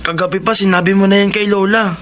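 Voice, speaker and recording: A man's voice: sits high, fairly even intonation, fast talker, a bit bright, faint music behind. A man playing a timid, worried teenage Filipino grandson in a radio drama, with hesitant delivery.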